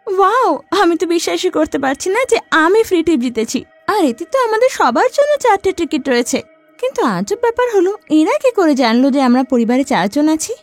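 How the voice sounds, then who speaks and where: A woman voicing an excitable, chatty young wife in an animated story. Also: extremely high pitch, brisk, faint music behind, voice pushed up. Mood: excited. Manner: excited, exclamatory. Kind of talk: cartoon story voice acting.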